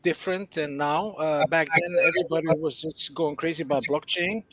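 A man's voice: on the high side, steady tone, a little fast, a crowd in the background. A middle-aged man from Belgium, a guest on an interview, talking casually and hesitantly with many fillers over a video call.

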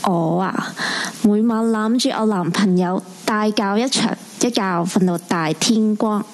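A woman's voice: mid-range voice, a little slow, a little hiss or hum, natural voice. Woman playing a cheeky, boastful office colleague. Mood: teasing. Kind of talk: Cantonese radio drama acting.

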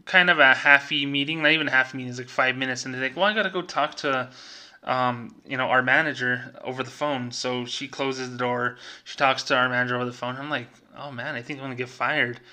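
A man's voice: mid-range voice, pushed and bright. A middle-aged man speaking as a vlogger, telling a personal story in a casual, conversational way and acting out remembered dialogue.

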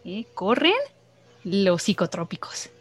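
A woman with a Mexican Spanish accent on a casual podcast, cracking slangy, deadpan jokes. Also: mid-range voice, slow, dry recording. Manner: joking, deadpan punchlines, slangy. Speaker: podcast co-host. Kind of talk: casual chat.

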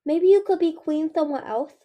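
Lady doing high squeaky baby dragons, cheeky little kids, babbling all over each other. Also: brisk, dull audio, close to an everyday voice. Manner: piping, childish, tumbling over words.

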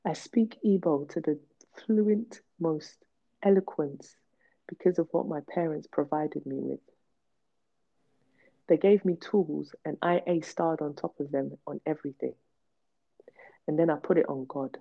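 A woman's voice: sits low, measured pace, vocal fry, pauses often. A woman, hosting a podcast and telling her own story bluntly and emphatically. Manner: emphatic, blunt, repetitive for stress. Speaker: podcast host. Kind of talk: candid personal storytelling. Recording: dull lo-fi podcast audio.

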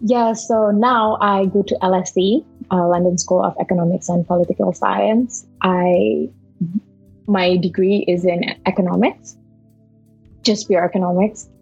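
Young Indonesian woman, slightly husky guest. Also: lowish voice, fairly even intonation, measured pace, a little mellow, highs cut off, soft background music. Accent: Indonesian with a bit of Malaysian. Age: 20s. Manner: chatty, many fillers and restarts, laughs often. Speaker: podcast guest, university student. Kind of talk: casual interview chat.

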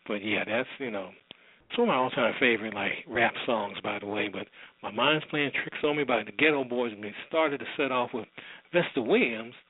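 A man's voice: medium pitch, moderate pace, husky, dry recording. A man hosting a radio show, introducing programs in a casual, rambling, informal way. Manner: informal, repeats and circles back. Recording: narrow, lo-fi, dry radio sound.

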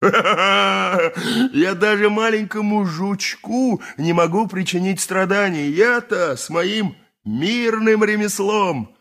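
An actor playing a boastful, boisterous armourer in a radio play, exclamatory and chuckling. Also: male voice, sits high, a bit bright, heavily acted. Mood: mock indignant. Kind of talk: 1980s radio play acting.

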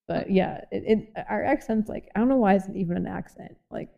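A woman's voice: mid-range voice, normal speed, vocal fry, lo-fi sound. A young woman from Boston with a light Boston accent, hosting a casual podcast chat and rambling with many fillers. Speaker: podcast host.

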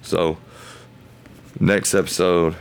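A man hosting a casual Bible study podcast, thinking aloud in loose, halting phrases, his voice hoarse as if he were losing it. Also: low voice, little pitch movement, a little hiss or hum. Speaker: podcast host.

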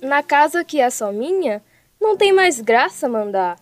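A woman's voice: sits high, big pitch swings, unhurried, no room sound, soft background music. A woman playing an aggrieved, dramatic young daughter-in-law in a radio soap. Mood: relieved.

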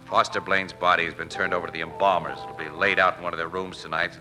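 An actor playing a wry, world-weary newspaper crime photographer in a 1940s radio drama, slangy and sardonic. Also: male voice, low-pitched, level tone, fast, over music, lightly acted, low-quality recording. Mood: disgruntled.

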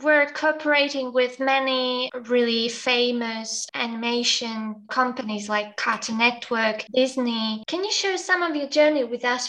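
A woman hosting an interview, reading a prepared introduction and asking questions in a measured, welcoming way. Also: on the high side, a bit bright, poor audio. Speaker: podcast host.

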